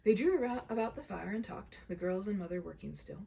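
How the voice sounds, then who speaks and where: A woman reading a story aloud with an expressive, theatrical and emphatic delivery. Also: mid-range voice, brisk, creaky, slight background noise. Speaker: reader.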